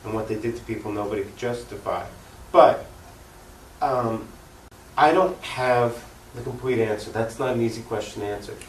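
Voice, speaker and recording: An American man comes through a roomy, slightly distant mic with faint noise behind him. He has a medium-pitched voice and speaks in an earnest, emphatic way, like an activist answering questions in an unscripted interview. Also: moderate pace. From United States. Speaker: interview guest, political activist. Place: echoey room, cable TV interview.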